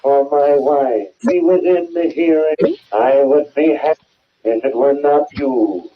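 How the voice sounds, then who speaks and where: A man playing a spirit medium haltingly relaying a dead man's message in an old radio drama. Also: on the high side, a little hiss or hum, natural voice. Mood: slow, solemn. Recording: tinny, distant old radio recording.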